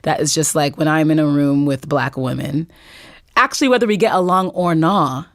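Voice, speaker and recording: A woman guest talking casually and reflectively, thinking aloud in halting phrases. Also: sits low, no room sound, studio quality. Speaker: panel guest.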